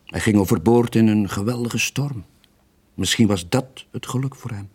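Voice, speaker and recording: An actor playing a weary, lonely sailor in his late forties, gentle but evasive, with a husky voice and a subdued, hesitant manner in a radio drama. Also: lowish voice, normal speed. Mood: wistful. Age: late 40s.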